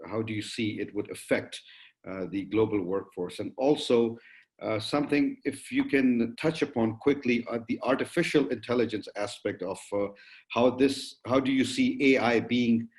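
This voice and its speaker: A man moderating a panel discussion, putting wordy, polite questions to guests in a halting way. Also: lowish voice, a little mellow, poor audio.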